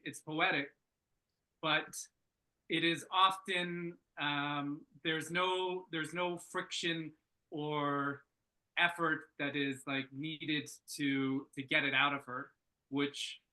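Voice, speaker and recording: A man giving casual workshop feedback, thinking aloud in halting, searching phrases. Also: fairly high pitch, bright, forceful tone, low-quality recording. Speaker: script reader giving feedback at a table read.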